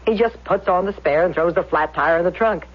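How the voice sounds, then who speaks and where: A woman playing a brisk, chatty small-town housewife on the phone in a radio drama, with a husky voice. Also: lowish voice. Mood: brisk, fond. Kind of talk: 1970s radio drama acting. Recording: muffled vintage radio broadcast.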